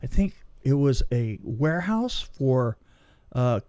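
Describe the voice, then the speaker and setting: A man's voice: mid-range voice, big pitch swings, slow, dark tone, smooth, no echo, studio quality. A man casually telling anecdotes from film and TV work as a guest, chatty and given to acting out remarks.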